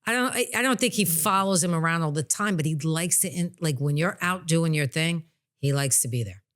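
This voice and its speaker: A woman speaking as a psychic medium guest, explaining things in a casual, conversational way with halting restarts. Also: low-pitched, a bit bright.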